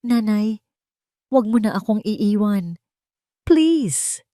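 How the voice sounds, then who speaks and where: A woman's voice: medium pitch, lively intonation, extremely slow, warm tone, no room sound, pitched above the performer's own voice. Small child, acted by a woman narrator: sobbing, pleading, vulnerable, audio drama delivery, very dry recording. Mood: tearful, pleading.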